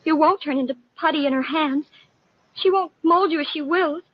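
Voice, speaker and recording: A woman's voice: high-pitched, normal speed, lightly acted. A woman playing an anxious, melodramatic, lovestruck young woman in a 1950s radio drama, with breathless, earnest pleading. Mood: indignant, fond. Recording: muffled vintage radio broadcast.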